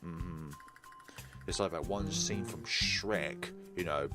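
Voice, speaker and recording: A man's voice: deep voice, takes it slowly. A man doing let's play commentary, reading visual novel text aloud expressively and dropping in chatty asides. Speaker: gaming video host. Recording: music behind the voice. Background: game music.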